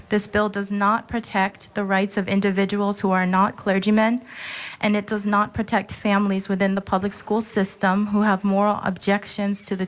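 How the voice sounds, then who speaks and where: A woman in her thirties from Hawaii giving formal public testimony, reading a prepared statement in a steady, earnest way. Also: mid-range voice, low-quality recording. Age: 30s.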